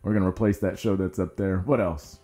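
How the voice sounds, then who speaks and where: A man's voice: deep voice, steady tone, brisk, dark tone, faint music behind. A man co-hosting a casual podcast chat in a room with a friend, joking and laughing often. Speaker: podcast co-host. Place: room with co-host.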